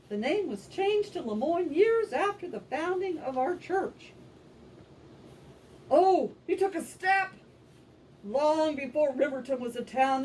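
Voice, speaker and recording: A woman with a high voice performs scripted puppet show narration for children. Her delivery swings widely in pitch and stays animated. She is picked up from a distance, with faint noise behind her.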